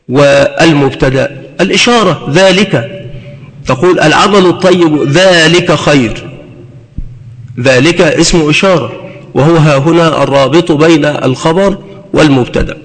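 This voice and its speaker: A man's voice: a little high, normal speed, pauses often. A man lecturing as a grammar teacher, in a didactic manner that repeats phrases for emphasis. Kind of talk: lecture explaining grammar with examples. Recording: long hall reverb.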